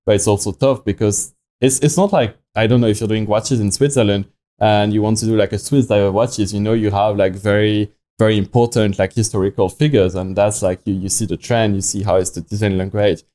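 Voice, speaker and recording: A man's voice: on the low side, fairly even intonation, brisk, warm tone. A young man from France with a French accent, telling stories casually and informally as an interview guest, often acting out his own past thoughts. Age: young adult. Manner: informal, frequent self-quoting in anecdotes. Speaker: podcast guest, watch company founder. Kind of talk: casual conversational storytelling.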